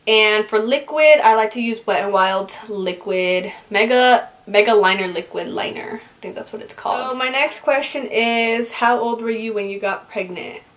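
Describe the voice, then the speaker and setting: A woman's voice: a little high, normal speed, hardly any pauses, fairly bright tone. A young woman in her twenties, originally from California and raised in Utah, answers viewer questions in a casual, chatty vlog, filling her talk with "like". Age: 20s. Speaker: beauty vlogger. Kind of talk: casual get-to-know-me Q&A.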